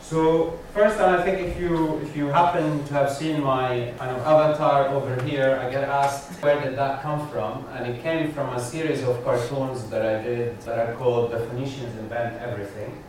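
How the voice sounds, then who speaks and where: A man with a Lebanese accent gives a humorous lecture, tossing wry asides to his listeners. He sounds distant from the mic in a strongly echoing hall, with a steady murmur of room noise behind him. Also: medium pitch, moderate pace, few pauses. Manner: wry, joking asides to the audience. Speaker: guest speaker, satirist.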